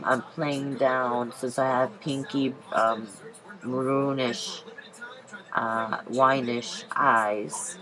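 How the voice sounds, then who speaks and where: A woman's voice: very deep voice, fairly bright tone, poor audio. A woman with a stuffy head cold talking through a casual makeup tutorial, chatty and rambling with many ums and self-corrections. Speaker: beauty vlogger.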